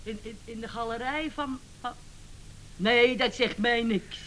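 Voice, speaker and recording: An actress playing a mocking, long-suffering wife in a radio comedy, drawling and scornful. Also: female voice, a little high, a little slow, fairly bright tone. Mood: scornful, complaining. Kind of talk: radio comedy drama acting.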